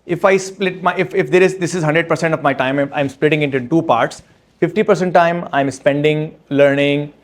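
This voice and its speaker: A man speaking as an author at a book launch, answering audience questions in a halting, step-by-step way with frequent restarts. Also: a little high. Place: event room with audience.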